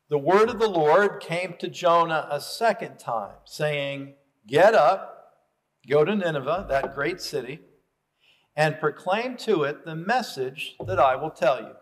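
A middle-aged man preaching a sermon from the pulpit of a small chapel, deliberate and fond of rhetorical questions, heard from a far camera. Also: on the high side, animated. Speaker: chaplain. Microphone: far camera, speaking from pulpit.

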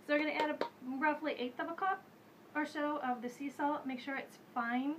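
A woman's voice: sits high, brisk, background noise. Chatty woman demonstrating in her kitchen. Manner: chatty, relaxed, thinks aloud with many fillers. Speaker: host of a home witchcraft show. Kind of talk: casual how-to demonstration. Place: home kitchen, indoors. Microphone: camera mic at medium distance.

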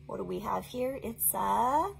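A woman in her thirties presenting a storytime for young children in an animated, sing-song, encouraging way. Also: on the high side, measured pace, vocal fry, slight background noise, low-quality recording. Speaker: children's librarian hosting an online storytime. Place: small indoor play tent set up for recording.

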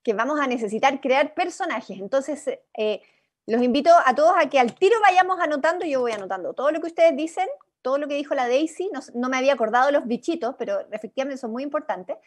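A young Chilean woman speaks in a high voice as she runs an informal online workshop. She is encouraging and inviting, guiding the group through a video-call microphone. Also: animated, fast talker. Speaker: workshop facilitator. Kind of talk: informal guided workshop talk. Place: indoor room, on a video call. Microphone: video-call computer microphone.

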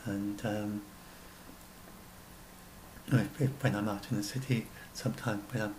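A man's voice: lowish voice, fairly even intonation, a little slow, darkish tone, slight background noise, low-quality recording. A man in his sixties, a science blogger, talking through his notes on his own at home in a rambling way that keeps correcting itself, recorded on a webcam at his desk. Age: 60s. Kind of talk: casual solo commentary. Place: small room at home.

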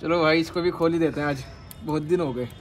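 A young man vlogging on an outdoor rooftop, chatting casually and excitedly while flying a kite. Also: a little high, fast, slight background noise.

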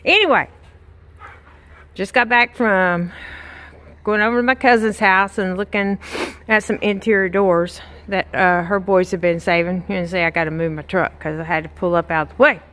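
A woman's voice: mid-range voice, fairly even intonation, normal speed, fairly bright tone. A middle-aged woman with a Southern accent, chatting casually to her phone camera outdoors on her farm, folksy and full of fillers. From Texas. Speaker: farm vlogger. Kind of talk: casual vlog narration. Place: outdoors on a rural farm, by a chicken pen. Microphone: handheld phone camera.

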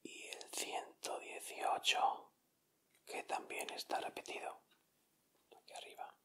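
Whispering man, slow ASMR delivery. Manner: soft, unhurried, deliberate narration of each item. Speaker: ASMR video creator. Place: indoors at a table.

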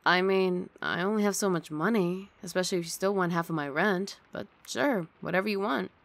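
A woman's voice: fairly low pitch, fairly quick, vocal fry, dry recording. A woman playing a grumpy but affectionate young female roommate in an intimate ASMR roleplay, sardonic and huffy, speaking close to the mic. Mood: huffy, teasing. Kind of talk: ASMR roleplay, intimate one-sided dialogue. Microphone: close studio mic, dry.

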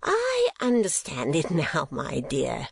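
A woman voicing a vain, rambling middle-aged gentlewoman mother in a storybook reading, with an affected, garrulous manner. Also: low voice, wildly swooping pitch, slow pace, vocal fry, husky, no room sound, heavily acted. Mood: knowing, self-important.

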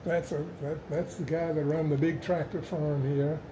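Older man, tour guide, slightly high voice, folksy yarns, noisy hall behind. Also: flat delivery. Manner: folksy storytelling, halting restarts. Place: large indoor museum hall. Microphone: camera held by a visitor nearby.

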